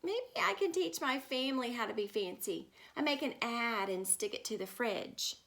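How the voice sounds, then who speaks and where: A woman's voice: on the high side, lively intonation, moderate pace, vocal fry, a bit bright. A woman voicing an enthusiastic little girl from a storybook who loves all things fancy, speaking dramatically and explaining grand words. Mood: excited.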